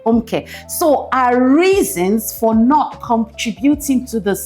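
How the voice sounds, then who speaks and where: A woman on a discussion panel talks earnestly, often stopping to search for the right words. Her voice sits fairly high, and faint music plays underneath her. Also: lively intonation, slow pace, a little mellow.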